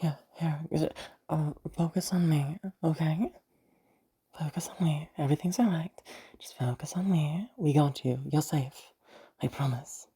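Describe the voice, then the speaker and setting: Woman acting gentle lady cop, lifted pitch, hushed, breathy, soothing, audio drama. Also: a little slow, exaggerated voice. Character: gentle, soothing female police rescuer calming a child.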